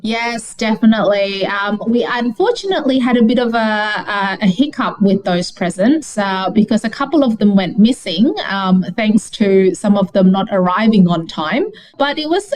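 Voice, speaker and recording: A woman's voice: on the high side, a little mellow, rough recording. A woman from Indonesia chatting casually and explaining things as an economist guest in an interview. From Jakarta, Indonesia.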